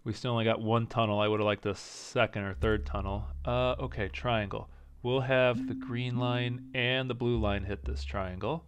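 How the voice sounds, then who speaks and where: A man casually commenting as he plays a strategy game, thinking aloud and second-guessing his choices, with a slight rasp and an occasional cough. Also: medium pitch, fairly even intonation, normal speed, slight background noise. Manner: thinking aloud, indecisive, self-talking. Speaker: gaming channel host. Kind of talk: casual let's-play commentary.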